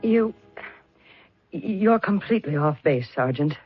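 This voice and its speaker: An actress playing a proud widow who stammers defensively under questioning, in a 1970s radio drama. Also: female voice, low voice, animated, slow pace, soft background music. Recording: muffled dry old radio broadcast.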